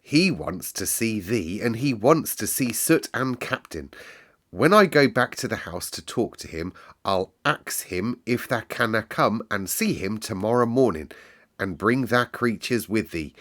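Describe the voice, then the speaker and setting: A man's voice: lowish voice, animated, a little slow, a bit bright. A man voicing an earnest little girl in a storybook, proudly and carefully trying out broad Yorkshire dialect. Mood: eager, determined.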